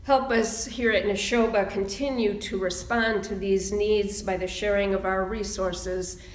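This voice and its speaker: A woman minister speaking in a measured, deliberate sermon style, pausing for emphasis as she reads and preaches. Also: medium pitch, steady tone. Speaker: minister leading a church service. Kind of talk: sermon and slow, solemn readings. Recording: roomy, echoing sound.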